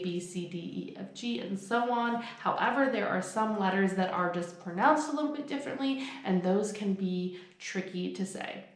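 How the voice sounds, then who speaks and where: A young woman chats to camera in a friendly, upbeat vlog style and explains her points with examples. Her voice sits in a middle pitch. The sound carries a noticeable room echo. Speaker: vlogger. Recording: echoey room.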